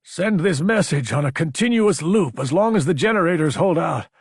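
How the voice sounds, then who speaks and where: A man's voice: fairly high pitch, lively intonation, moderate pace, slightly rough, dry recording, studio quality, voice pushed up. A man voicing a desperate man's recorded distress message in a sci-fi audiobook, solemn, urgent and declamatory. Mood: grave, desperate. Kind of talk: dramatic audiobook character voice.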